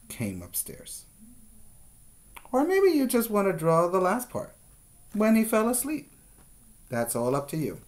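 A middle-aged man giving a preschool lesson as a teacher, with deliberate, repetitive step-by-step instructions, recorded indoors on a webcam. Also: medium pitch. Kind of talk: instructional video lesson for young children. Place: small room indoors. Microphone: webcam at desk distance.

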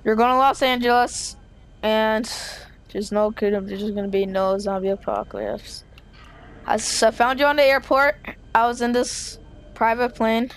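An excitable, melodramatic young-sounding gamer improvising a zombie apocalypse roleplay over game sounds. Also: mid-range voice, normal speed, clean tone. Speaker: gaming video creator playing a roleplay character.

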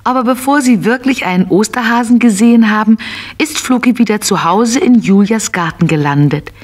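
A woman narrating a scripted children's tale in an expressive, simple storytelling manner. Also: on the high side, moderate pace, close to an everyday voice.

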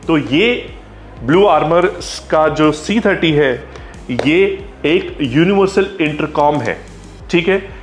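Higher-pitched Indian man reviewing, roomy sound. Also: animated, slow, faint music behind. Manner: explanatory, addresses viewers directly with check-in tags. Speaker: host, motorcycle gear store vlogger. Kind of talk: product review to camera. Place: small helmet shop, indoors. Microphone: clip-on lapel mic. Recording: roomy echo, clear background noise.

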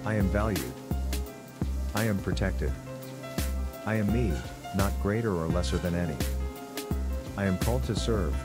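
A man reciting spiritual self-affirmations in a steady, measured voice. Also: low-pitched. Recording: affirmations over loud music.

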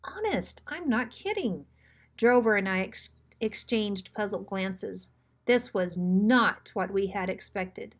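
A woman reads a children's book aloud with expressive storytelling. Her voice sits at an average pitch for a woman. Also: takes it slowly. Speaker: school principal reading aloud.

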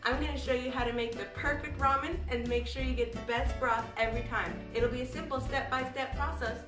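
A woman's voice: sits high, steady tone, brisk, natural voice. A woman playing an irritable, bossy cooking show host in a comedy sketch, curt and sarcastic. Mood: presenting. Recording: echoey room, distant mic, music behind.

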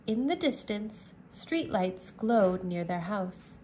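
A woman teacher reading a children's chapter book aloud, slowly and clearly, indoors at a table. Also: medium pitch, big pitch swings, a little mellow, a little hiss or hum. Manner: slow, careful, clear reading. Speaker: English teacher.

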